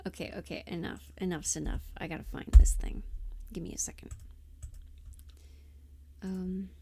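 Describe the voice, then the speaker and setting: A woman's voice: fairly low pitch, steady tone, measured pace, vocal fry, pauses often, warm tone, breathy edge. A woman chatting casually on a livestream, scattered and giggly, thinking aloud as she goes, close to the mic. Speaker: livestream host and audio performer.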